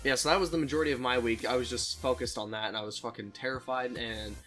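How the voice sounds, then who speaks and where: A man's voice: medium pitch, steady tone, fast talker, bright, forceful tone. A man in his late teens telling personal stories in a casual, rambling, candid and self-deprecating way, swearing freely like a YouTuber on a solo talk show. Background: background music.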